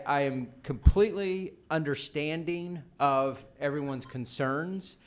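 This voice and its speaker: A man speaking as an official in a formal policy meeting, unscripted, in measured bureaucratic phrases with hesitant restarts. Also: on the high side.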